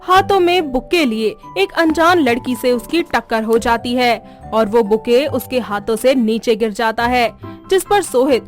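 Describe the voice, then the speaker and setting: Female storyteller, high register, scripted cartoon narration over faint music. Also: measured pace.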